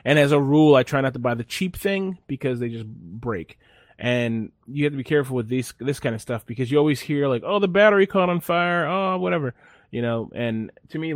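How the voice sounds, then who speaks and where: A man with a middle-pitched voice tells a meandering story in a relaxed, easygoing way. He stumbles through restarts and fillers, and the recording sounds dry and close with no room echo. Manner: rambling, laid-back storytelling with many fillers and restarts. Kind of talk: casual chat.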